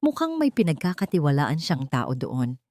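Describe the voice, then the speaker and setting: A woman's voice: deep voice, huge pitch swings, moderate pace, a little mellow, dry recording, voice pushed down. A woman voicing a deferential male aide in an audiobook drama, reporting respectfully and urgently.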